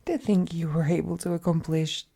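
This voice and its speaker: A woman's voice: lowish voice, fairly even intonation, moderate pace, creaky, dark tone, slightly rough. A woman playing an ancient, immensely powerful female villain, possessive, wounded and regal, in a brooding, theatrical romantic audio roleplay with whispered phrases. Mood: quiet admiration. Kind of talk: dramatic romantic audio roleplay, addressed to the listener.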